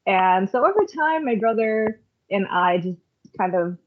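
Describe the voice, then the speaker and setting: A woman's voice: medium pitch, lively intonation, a little slow. Woman guest, chatty and reflective, lots of ums, sounds like a phone line. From Canada. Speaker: podcast guest, worship leader. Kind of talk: casual conversational storytelling.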